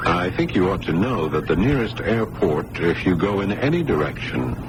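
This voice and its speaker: A man's voice: deep voice, very animated, normal speed. An actor playing a level-headed, plain-spoken male doctor in a radio drama. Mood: serious. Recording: very noisy old radio broadcast recording.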